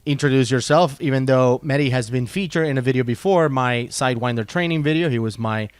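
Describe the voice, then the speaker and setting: A man hosting a casual chat, animated and quick to jump in with questions. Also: mid-range voice, clean tone, dry recording. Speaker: host.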